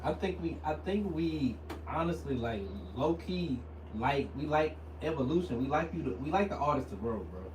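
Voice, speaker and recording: A man in his thirties, co-hosting a casual podcast chat, telling stories with lively, laughing energy. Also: fairly high pitch, normal speed. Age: 30s. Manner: animated, laughing storyteller. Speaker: podcast co-host. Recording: roomy sound, distant mic, faint noise.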